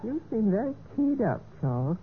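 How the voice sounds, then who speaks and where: Concerned radio wife, tinny sound. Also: female voice, medium pitch, very animated, normal speed. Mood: mildly concerned. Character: gentle, concerned, trusting wife. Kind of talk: 1940s-50s radio mystery acting. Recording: tinny, faintly noisy vintage radio recording.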